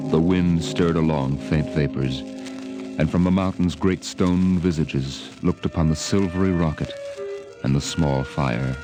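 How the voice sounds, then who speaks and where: A man narrating a 1950s radio drama in a dramatic, measured announcer style, on a vintage recording. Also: deep voice. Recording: vintage radio with loud music behind. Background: loud music.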